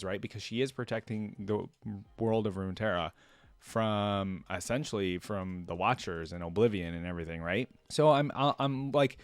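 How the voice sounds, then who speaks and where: A man's voice: mid-range voice, no echo. A man hosting a reaction video, giving casual, rambling commentary as he thinks aloud and checks in with the viewer. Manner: rambling, thinking aloud, seeks agreement with "right?".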